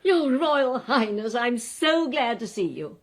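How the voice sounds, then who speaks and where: An actress playing an earnest, naive English governess with a British accent in a radio drama, genteel and insistent in manner. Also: female voice, a little high, animated, slow, exaggerated voice. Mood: grateful, deferential.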